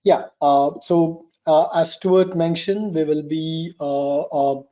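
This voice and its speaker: Male guest presenter, fairly high but soft-edged voice, halting delivery, lo-fi call audio. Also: steady tone. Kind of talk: informal technical presentation. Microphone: online meeting call. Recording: dull lo-fi online call.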